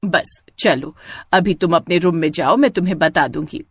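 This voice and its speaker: A woman's voice: fairly low pitch, normal speed, slightly rough, no echo, clean studio sound, pitched below the performer's own voice. A woman voicing a kindly, firm middle-aged mother in a cartoon story, reassuring and matter-of-fact.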